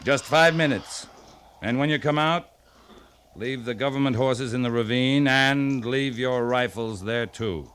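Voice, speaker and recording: An actor playing a stern, shrewd US cavalry captain with a commanding, measured manner, in a 1950s radio western. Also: male voice, mid-range voice, fairly bright tone, smooth, close to an everyday voice. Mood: firm, threatening. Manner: commanding, measured, pointed questioning.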